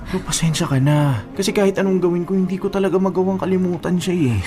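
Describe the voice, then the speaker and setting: A man's voice: high-pitched, quick pace, dark tone, natural voice. Hesitant young Filipino boyfriend acted by a man. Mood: apologetic. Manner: casual, hesitant, defensive. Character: evasive, apologetic young boyfriend minding a computer shop. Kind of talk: Filipino radio-style drama acting.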